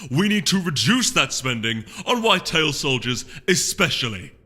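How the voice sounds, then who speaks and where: A man's voice: fairly high pitch, sing-song, moderate pace, sharp tone, pitched above the performer's own voice, heavily acted. A man voicing a haughty, disdainful unicorn duke in a storybook reading, with a pompous, speechifying manner. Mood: bored, irritated. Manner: pompous, oratorical.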